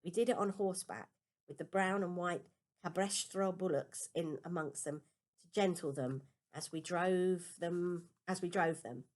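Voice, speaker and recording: Lower-pitched woman reading a storybook aloud. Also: unhurried, a little mellow. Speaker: amateur audiobook reader. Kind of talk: storybook narration, first-person children's novel read aloud.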